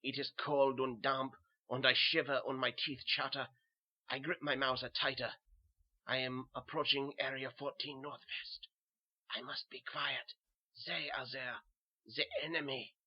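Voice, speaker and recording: A man's voice: medium pitch, level tone, measured pace, lo-fi sound, close to an everyday voice. A man playing a weary, haunted German soldier who narrates a horror tale in simple short sentences, with a German accent. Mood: weary, fearful. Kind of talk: first-person horror audio narration.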